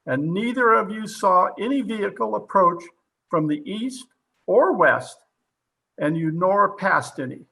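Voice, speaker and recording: An actor playing a hard-nosed, sarcastic police captain in an old-time radio drama, interrogating forcefully and full of disbelief. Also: male voice, high-pitched, expressive, unhurried, gravelly, husky, exaggerated voice, rough recording. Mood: accusing.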